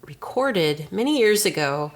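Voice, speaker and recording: A woman's voice: fairly low pitch, sing-song, unhurried. A woman co-hosting a podcast in casual, conversational chat. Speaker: podcast co-host.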